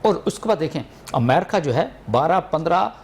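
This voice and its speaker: A Pakistani man speaking as a political analyst, with faint noise behind him. His voice is a little high, and he argues emphatically, cutting in and slipping into English phrases. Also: lively intonation, normal speed. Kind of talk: argumentative political commentary. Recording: noticeable background noise.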